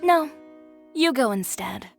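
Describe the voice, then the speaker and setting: An actress playing a stern, self-assured young fashion designer in a video game, composed and blunt. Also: female voice, high voice, expressive, slow, creaky, music in the background, heavily acted. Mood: stern resolve.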